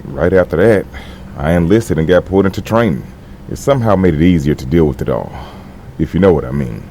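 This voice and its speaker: Male actor as gravelly veteran, mellow and low, gentle halting delivery, audio drama, faint background noise. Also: animated. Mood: quiet, confiding. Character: gruff, tender veteran man with a grieving past.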